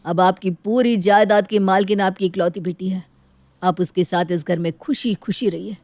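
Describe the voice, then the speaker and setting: A woman's voice: mid-range voice, fairly even intonation, moderate pace, darkish tone, dry recording, pitched below the performer's own voice, natural voice. A woman voicing a hurt, dutiful grown son in a dramatic moral story, pleading earnestly and reproachfully. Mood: weary, resigned.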